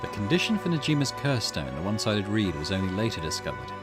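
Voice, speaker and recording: A grave narrator of a dark visual novel voiced by a man. The delivery is measured and fairly low in pitch. Music sits clearly behind the words. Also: very animated. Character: solemn narrator of a dark occult mystery story. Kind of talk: scripted narration read aloud from a visual novel. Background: game music.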